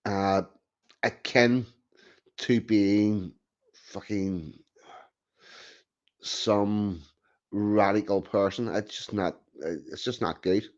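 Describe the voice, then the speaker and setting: A man with a fairly low voice talks slowly and bluntly, giving strong opinions and restarting his sentences. The sound is muffled and lo-fi. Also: fairly even intonation. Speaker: host. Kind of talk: casual chat. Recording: dull lo-fi sound.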